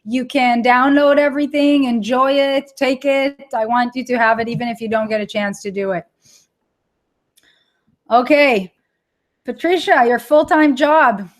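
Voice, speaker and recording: A woman's voice: sits high, a little slow. A middle-aged woman with an Israeli accent, hosting a live webinar and chatting casually with viewers, repeating words for emphasis. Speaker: online course creator hosting a live webinar.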